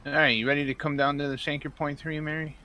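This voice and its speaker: A man's voice: fairly high pitch, flat delivery, fast, sharp tone, faint music behind. A man chatting casually while gaming, explaining things plainly to his co-player.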